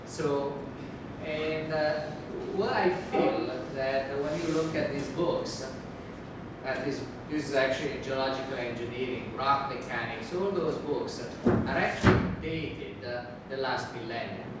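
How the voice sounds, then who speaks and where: A professor with an Italian accent, a native Italian speaker, giving a university lecture in a careful, deliberate way. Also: male voice. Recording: echoey hall from far off, rumble.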